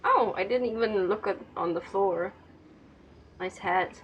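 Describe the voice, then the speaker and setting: A woman's voice: medium pitch, measured pace, highs cut off, a little hiss or hum. A woman game streamer giving casual, slangy, chatty commentary as she plays. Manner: slangy, informal.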